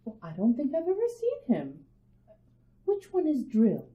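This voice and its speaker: An indulgent radio-drama mother. Her voice is very soft and dark in tone with a highly animated lilt, gently chiding, heard on a dull, roomy recording. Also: measured pace, heavily acted. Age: adult. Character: amused, indulgent mother humoring her young daughter. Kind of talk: old-time radio drama acting.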